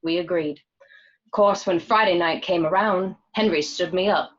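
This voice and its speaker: A woman's voice: lowish voice, normal speed, natural voice. A woman playing an annoyed young woman, telling her story in an exasperated, matter-of-fact way. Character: annoyed young woman recalling a bad date. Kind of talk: dramatic table read, narrated recollection. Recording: dull lo-fi home call audio.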